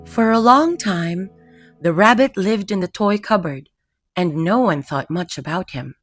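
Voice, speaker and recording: A woman narrating a scripted children's bedtime story in a gentle, measured, soothing manner. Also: lowish voice, expressive. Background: music.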